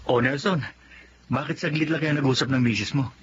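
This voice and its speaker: A man playing a steady, sensible Filipino friend who reasons and placates, in radio drama style. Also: mid-range voice, fairly quick, close to an everyday voice. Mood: calm, sensible. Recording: dull audio with background noise.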